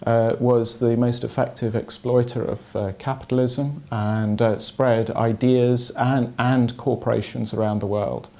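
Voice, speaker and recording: A man gives measured, academic analysis. He pauses often to search for words and speaks at a steady level. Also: mid-range voice. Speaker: political commentator. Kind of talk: analytical commentary.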